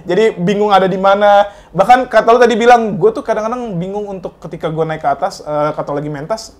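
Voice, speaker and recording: A man's voice: high voice, fast talker. A man hosting a casual podcast interview, prompting with short agreeing replies and questions. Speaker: podcast host.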